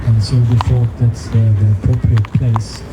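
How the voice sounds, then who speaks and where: Man, guest speaker, halting delivery, mid-pitched voice, loud background music. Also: little pitch movement, low-quality recording. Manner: halting, pausing often. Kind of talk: public talk on a city tour.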